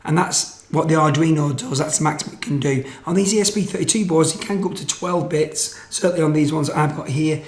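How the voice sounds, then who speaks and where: Male tutorial presenter, informal and explanatory, slightly high pitch, echoey room recording. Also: quick pace, few pauses. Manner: casual, explanatory. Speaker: presenter of electronics tutorial videos. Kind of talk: informal tutorial narration of code and demo. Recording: roomy, echoey microphone sound.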